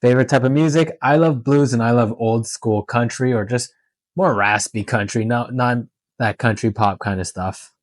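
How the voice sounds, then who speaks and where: A man's voice: medium pitch, normal speed, no echo. A man from Canada and Hong Kong talking candidly and informally in a question-and-answer vlog. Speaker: tattoo artist and vlogger.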